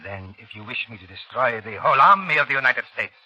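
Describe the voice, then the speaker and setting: An actor playing a cold, scheming doctor in an insinuating, theatrical 1930s radio style. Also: male voice, mid-range voice, animated, quick pace, pushed and bright. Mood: coldly persuasive. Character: sinister foreign doctor, cold scheming germ-warfare villain. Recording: dull vintage 1930s radio recording, faint music.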